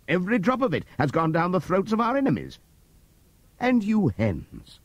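Old boar rebel leader, read by a male narrator: husky, low, wildly swinging pitch, close and dry. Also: no room sound. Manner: impassioned oratory, sweeping from loud appeals to hushed asides. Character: old prize boar, wise, rousing orator. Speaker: audiobook reader. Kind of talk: dramatic audiobook reading.

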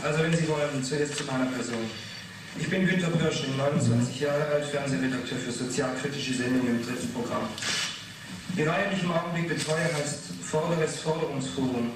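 A man's voice: mid-range voice, a little fast, natural voice. An actor playing an earnest, guarded male TV editor in his twenties in a radio play. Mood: matter-of-fact, self-assured. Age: 20s. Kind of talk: 1980s German radio play acting. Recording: very echoey room, distant, noisy.

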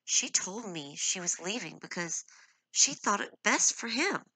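A woman's voice: mid-range voice, lively intonation, unhurried, sharp tone, husky, no room sound, voice pushed up, exaggerated voice, low-quality recording. A woman reading a hurt, defiant young wife.

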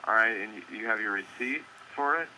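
A man's voice: on the low side, brisk, sharp tone. A man working as an electronics store employee, answering a customer over an internet phone call. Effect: phone call over computer speaker. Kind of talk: customer service phone talk. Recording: harsh, slightly noisy internet phone line.

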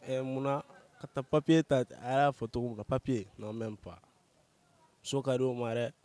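A young man with a Malian accent, answering a street interviewer outdoors in a relaxed, joking way. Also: mid-range voice, normal speed. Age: young adult. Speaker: passer-by. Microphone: handheld held by interviewer.

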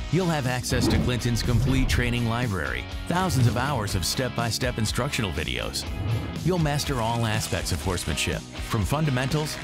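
A male announcer with a mid-pitched voice delivers a polished, scripted promo. Loud guitar music plays behind him throughout. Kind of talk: scripted advertising voice-over.

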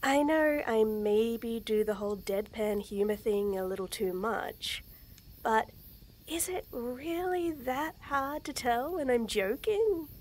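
A young woman with a slightly high voice talks slowly and gently to the listener in a scripted roleplay. She stammers nervously, giggles often and slips into soft whispers. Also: lively intonation, a little hiss or hum. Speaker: voice actress in a girlfriend audio.

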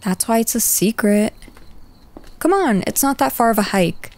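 A woman's voice: on the high side, lively intonation, moderate pace, a little mellow. A woman playing a teasing young goth girl in an ASMR roleplay, talking casually and directly to the listener. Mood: excited, playful. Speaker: roleplay voice performer. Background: background music.

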